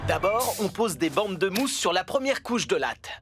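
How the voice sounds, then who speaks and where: Good-natured builder over clear music. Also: male voice, high voice, big pitch swings, normal speed. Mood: practical, cheerful. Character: friendly, practical male builder.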